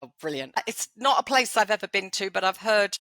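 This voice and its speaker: A woman's voice: medium pitch, expressive, brisk, a bit bright. A woman with a Brooklyn accent hosting a podcast interview, chatty and playful. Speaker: podcast host. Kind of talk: interview conversation.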